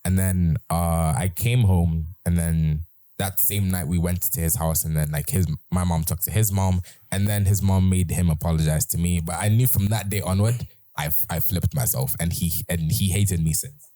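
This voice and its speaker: Deep-voiced young British man telling stories. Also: moderate pace, no echo, studio quality. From Britain. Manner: rambling, repeats and restarts, lively storytelling. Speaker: host. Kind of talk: casual storytelling chat.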